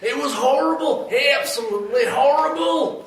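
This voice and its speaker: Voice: sits high, moderate pace, a crowd in the background. An actor playing a frantic, melodramatic bystander in a live radio-style comedy sketch, declaiming over a fallen friend. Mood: frantic, anguished. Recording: echoey live room, distant mic.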